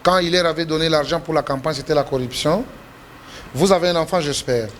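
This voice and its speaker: Congolese-accented man, high voice, politician guest, insistent and repetitive, light background hiss. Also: fairly quick. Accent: Congolese French. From Democratic Republic of the Congo. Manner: emphatic, repeats himself, pitch jumps when indignant. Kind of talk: heated political interview.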